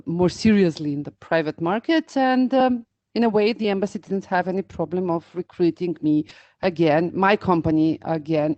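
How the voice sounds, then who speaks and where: A middle-aged woman with an Albanian accent, a native Albanian speaker, answering interview questions slowly with many pauses and fillers. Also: fairly low pitch, warm tone, dry recording. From North Macedonia. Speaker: interpreter and business owner.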